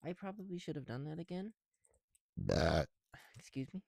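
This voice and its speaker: A man chatting informally as an ASMR creator, sweary and teasing, drifting between soft murmurs and louder talk, breathing audibly through a thick mask. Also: fairly high pitch, wildly swooping pitch, moderate pace, gravelly, breathy edge, no echo, clean studio sound. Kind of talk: casual first-person ASMR build chat. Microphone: close mic, speaking through a mask.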